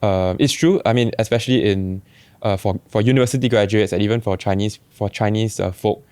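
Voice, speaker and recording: A young man with a Singaporean accent, telling his story in a relaxed, reflective way with many restarts. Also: on the low side, brisk, clean tone, dry recording. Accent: Singaporean English. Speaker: barber guest. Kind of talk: casual podcast chat.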